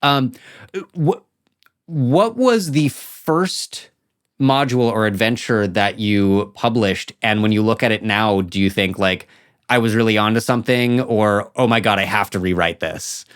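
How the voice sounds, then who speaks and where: A man's voice: lowish voice, measured pace. A man hosting a podcast in a conversational, effusive and appreciative way. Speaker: podcast host.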